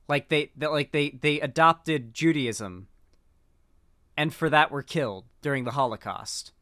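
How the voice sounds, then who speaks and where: A man's voice: on the high side, measured pace, sharp tone, dry recording, studio quality. A man giving casual commentary on clips, rambling with frequent fillers and restarts. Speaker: political commentator.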